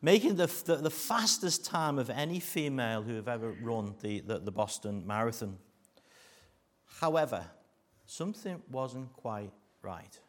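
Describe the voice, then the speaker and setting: A middle-aged man with a Liverpool accent preaching a sermon, mixing jokey asides with earnest warnings that sink to a hush. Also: mid-range voice, sing-song, a little slow. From Liverpool, England.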